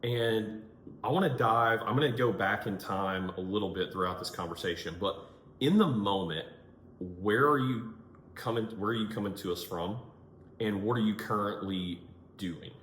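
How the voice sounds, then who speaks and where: Echoey, lowish-voiced male podcast host. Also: normal speed, a bit bright. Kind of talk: casual conversational interviewing. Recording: echoey room, clear background noise.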